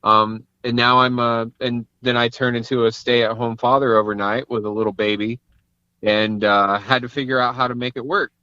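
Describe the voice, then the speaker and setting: A man with a bright, forceful voice at an ordinary pitch, chatting informally as an interview guest. He thinks aloud in a conversational way. The audio is dull and band-limited. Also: little pitch movement, normal speed, clear, resonant tone, no echo. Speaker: guest, entrepreneur and father. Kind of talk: casual interview chat.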